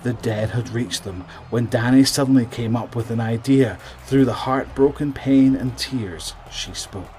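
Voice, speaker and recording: A man narrating a scripted horror story in a measured, suspenseful way, trailing off at the ends of phrases. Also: mid-range voice, darkish tone, slight background noise.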